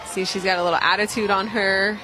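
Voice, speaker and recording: A woman giving conversational color commentary as an analyst on a softball broadcast. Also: mid-range voice, level tone, fairly quick, low-quality recording. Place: outdoor softball ballpark broadcast position. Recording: crowd noise and music behind broadcast. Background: ballpark crowd and music.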